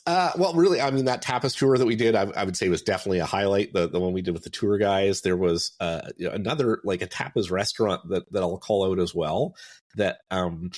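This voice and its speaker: A man's voice: deep voice, quick pace, no room sound, studio quality. A middle-aged man from Alberta, a podcast guest chatting casually about travel, with many restarts and fillers. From Alberta, Canada. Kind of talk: casual interview chat.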